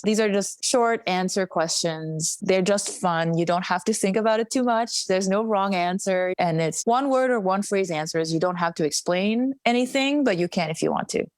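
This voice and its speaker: A woman's voice: mid-range voice, normal speed, hardly any pauses, no room sound. A woman hosting a podcast, interviewing a guest in a casual, conversational style. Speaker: podcast host.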